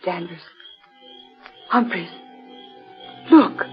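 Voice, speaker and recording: A woman's voice: on the low side, expressive, extremely slow. An actress playing an anxious, frightened woman in an old radio horror play, speaking in a fretful, dramatic way. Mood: alarmed. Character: anxious, frightened woman lost in a haunted forest. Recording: muffled old radio recording with music.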